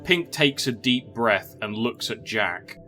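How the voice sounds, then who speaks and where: A man's voice: mid-range voice, animated, takes it slowly, sharp tone, soft background music. A man reading scripted narration for an audio drama.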